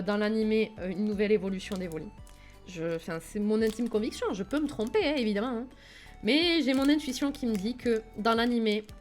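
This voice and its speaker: Chatty young woman, Toulouse accent. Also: mid-range voice. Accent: southern French, Toulouse. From southern France, Toulouse. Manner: chatty, enthusiastic, many fillers, talks to chat. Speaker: livestream host. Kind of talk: casual live-stream chat with viewers.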